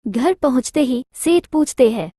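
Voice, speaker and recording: A woman narrates a scripted story for children. Her voice is high in pitch, and the recording is very dry, with no room sound. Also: expressive, measured pace, mellow, no echo.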